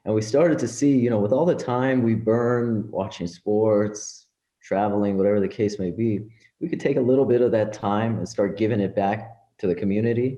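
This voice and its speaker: A middle-aged man, a native Farsi speaker, with a low and very mellow voice. He talks casually and earnestly as an interview guest. The recording has a clearly roomy echo. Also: normal speed. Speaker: interview guest, business professional and community organizer. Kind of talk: casual podcast interview, personal anecdotes.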